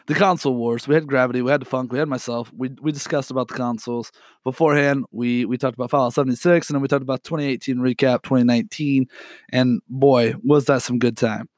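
A young man hosting a podcast alone, sick and hoarse, talking in an apologetic, rambling way with many fillers and throat clearing. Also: medium pitch, no room sound, clean studio sound. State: sick with a raspy, cracking voice. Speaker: podcast host. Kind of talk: unedited solo podcast update.

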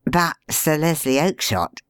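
A man's voice: a little high, expressive, unhurried, fairly bright tone, smooth, no echo. Loyal, eager doctor friend, read by a man, earnest and a little raised; dry sound.

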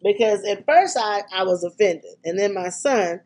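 A middle-aged woman hosting a podcast, talking in a chatty, excitable way with frequent ums, her voice congested from a cold. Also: medium pitch, moderate pace. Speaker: podcast and radio host. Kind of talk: casual podcast chat.

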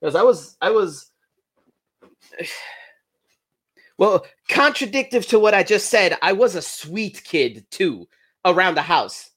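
Man from germany, guest on a call, halting speech, restarts and fillers, casual chat. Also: high-pitched, lively intonation, a bit bright, breathy edge. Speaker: YouTuber and streamer guest.

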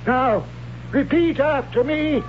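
An actor playing a stern old high priest who drills his son in the holy principles, speaking in a slow, instructive way in a 1970s radio drama. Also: over music. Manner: stern and instructive, reciting ritual. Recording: dull old radio broadcast with faint hum.

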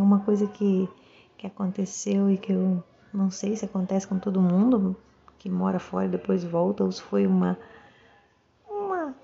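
A woman's voice: medium pitch, vocal fry, warm tone, breathy edge, muffled recording. A woman with a Brazilian Portuguese accent giving a casual, reflective monologue, musing and often checking for agreement with little tag questions.

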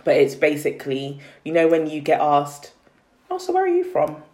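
A woman's voice: low-pitched, wildly swooping pitch, normal speed, dark tone, echoey room. A woman explaining things reflectively in a casual group chat. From London, England.

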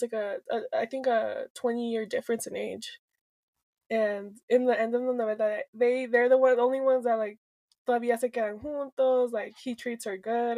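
Young woman podcaster, kinda high voice, rambles w lots of likes and ums, cusses, flips into spanish, dry close sound. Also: darkish tone, no room sound. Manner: rambling, filler-heavy, swears freely, laughs often; switches between English and Spanish mid-sentence. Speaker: podcast co-host. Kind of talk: casual podcast chat.

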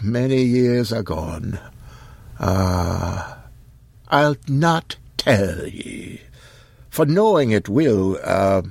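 A man's voice: medium pitch, creaky, dry recording, pitched above the performer's own voice, full character voice. A man putting on a weary old scholar. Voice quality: old-fashioned archaic speech with Scots and German touches. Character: old male scholar, archaic, weary and cryptic.

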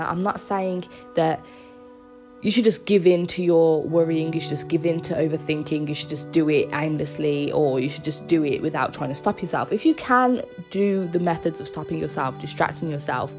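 A young woman hosts a casual solo podcast in a slightly low voice. A cold leaves her sounding a little stuffy. She talks earnestly and reassuringly, with faint music playing underneath. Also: fairly quick. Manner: earnest, reassuring, with small laughs. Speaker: podcast host.

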